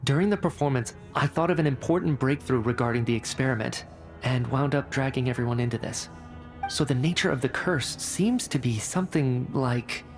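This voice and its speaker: An actor playing a brisk, coolly rational scientist in a video game, articulate and clinical as he reports. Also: mid-range voice, natural voice. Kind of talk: video game cutscene acting.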